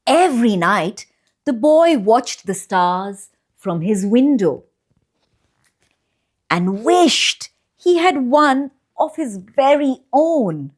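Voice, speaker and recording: Female children's storyteller, fairly high voice, highly varied intonation, expressive and deliberate read-aloud delivery. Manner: expressive, deliberate phrasing with drawn-out pauses for young listeners. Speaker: children's storyteller and channel host.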